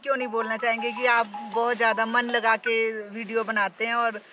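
A woman's voice: fairly high pitch, flat delivery, fairly quick, pushed and bright, soft background music. A woman chatting outdoors in a forest, telling a story with lots of laughter. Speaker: vlogger's older sister. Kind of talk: casual outdoor chat.

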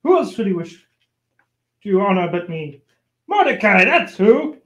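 A man's voice: sits high, sing-song, measured pace, a bit bright, reverberant, exaggerated voice. A man playing a proud, aggrieved scheming lord with an indignant, complaining manner in an amateur radio play. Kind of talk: amateur old-time radio play acting.

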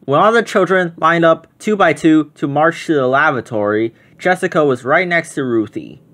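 Children's read-aloud narrator for picture-book stories, mid-range pitch. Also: lively intonation, moderate pace, fairly bright tone.

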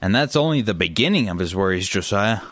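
A man's voice: fairly low pitch, expressive, fast talker, fairly bright tone, no room sound. A man playing a wry, laid-back companion in a comedy radio play. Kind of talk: comedic radio theater acting.

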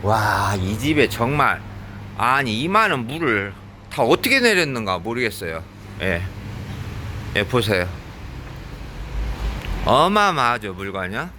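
Bright-voiced chatty man with a cold. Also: medium pitch, very animated, a little hiss or hum. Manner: chatty, fills pauses with frequent "yes". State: has a cold. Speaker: vlogger. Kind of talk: casual running commentary while shopping. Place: convenience store in Laos.

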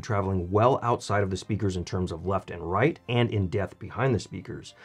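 Male reviewer, slightly low voice, scripted narration for video reviews. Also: a little mellow, slightly rough. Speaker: product reviewer and channel host.

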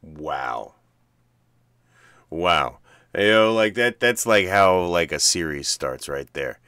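A man's voice: low-pitched, expressive, takes it slowly, sharp tone, clean tone, no room sound, studio quality. A middle-aged man hosting a reaction show, talking casually and informally to his viewers.

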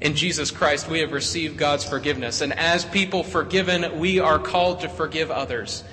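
A man's voice: on the high side, steady tone, normal speed, over loud music. A male pastor leading worship and chatting playfully with children, often stopping and restarting his phrases. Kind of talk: church service leading and children's sermon. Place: church sanctuary. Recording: church sanctuary mic with long hall reverb and faint background noise.

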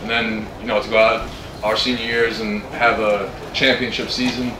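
A man's voice: fairly low pitch, level tone, moderate pace. A man in his early twenties, a college football player giving earnest answers at a press conference into a handheld mic. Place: press tent with ambient noise. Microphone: handheld microphone. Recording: roomy, distant handheld mic, clear background noise.

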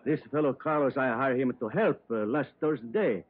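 An actor playing a talkative, excitable Italian market stall owner in halting, emphatic broken English, in an old radio drama. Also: male voice, mid-range voice, slightly rough, natural voice. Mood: chatty, emphatic. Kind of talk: 1950s radio drama acting. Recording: tinny, narrow old radio recording.

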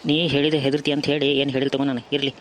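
A man playing an impatient, grumbling young traveller in an amateur cartoon, with a brisk manner and a North Karnataka Kannada accent. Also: medium pitch, monotone, a little hiss or hum, close to an everyday voice, low-quality recording.

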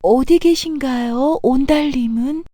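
A woman playing a gentle, determined young princess in a children's folktale, with polite, formal speech. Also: high-pitched, measured pace, slight background noise. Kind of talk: children's animated folktale acting.

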